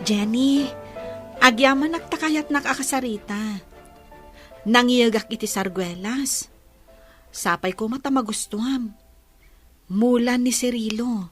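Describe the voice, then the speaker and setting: A woman's voice: a little high, a little slow, long pauses, a bit bright. A woman acting as a friendly, considerate village woman in a radio drama. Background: music.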